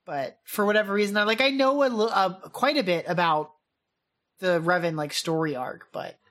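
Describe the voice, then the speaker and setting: A young woman co-hosts a podcast, chatting easily with quick reactions and plenty of fillers. Her voice sits at a middle pitch. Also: fairly bright tone. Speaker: podcast co-host and editor. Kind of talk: casual chat.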